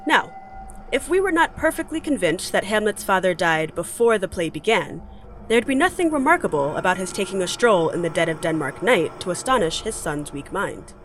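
A woman's voice: fairly low pitch, very animated, moderate pace. A woman narrating a story theatrically, with emphatic, dramatic delivery. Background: music.

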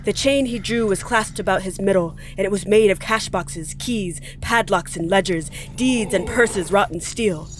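A woman playing a wry, theatrical storyteller, narrating an audio play with deliberate emphasis. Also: mid-range voice, faint music behind, lightly acted. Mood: wry, suspenseful.

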